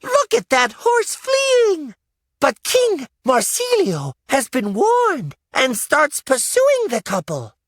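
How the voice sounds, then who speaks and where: Woman voicing an excitable, very high-pitched young boy. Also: dry recording, studio quality, full character voice. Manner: breathless, dramatic showman's delivery. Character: excitable young boy narrating a puppet show. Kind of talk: theatrical children's storybook read-aloud.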